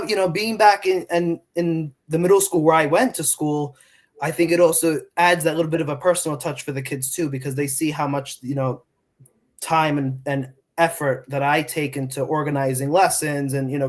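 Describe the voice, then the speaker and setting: Earnest young man, slightly high voice. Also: steady tone, normal speed. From New York, United States. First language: Portuguese. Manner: earnest, with many fillers and restarts. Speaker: middle school Spanish teacher. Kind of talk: casual interview answers.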